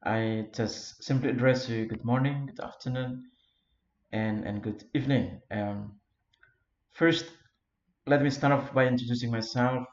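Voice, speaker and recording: An Indonesian-accented man from Flores talks through an informal lecture in English, stopping often on fillers and repeating words as he searches for them. His voice sits at an ordinary pitch. The recording is dull and lo-fi, with a roomy echo. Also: fairly even intonation, unhurried. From Flores, eastern Indonesia. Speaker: founder of an English learning community. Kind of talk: informal self-made video lecture. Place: Europe.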